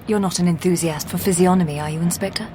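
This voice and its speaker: An actress playing a shrewd, composed Victorian lady detective in a radio drama, measured and dryly probing. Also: fairly low pitch, fairly even intonation, dark tone, lightly acted. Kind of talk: period radio drama acting. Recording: clear background noise.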